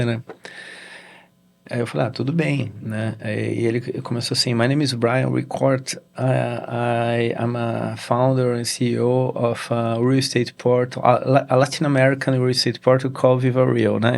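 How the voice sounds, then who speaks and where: A middle-aged Brazilian man with a Brazilian Portuguese accent, a guest in a casual interview, telling a story in halting sentences with frequent "né?" tags. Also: mid-range voice, creaky. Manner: halting, with many restarts and "né?" tags. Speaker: interview guest, real estate executive.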